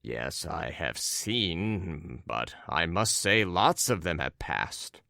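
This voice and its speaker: A low, unhurried young man's voice from a storybook, put on by a male reader. He is curt and impatient, irritated under a thin layer of grudging politeness. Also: big pitch swings, vocal fry, pushed and bright, clean tone, no echo, studio quality, full character voice. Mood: reserved, resolute. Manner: terse, brusque, grudgingly polite.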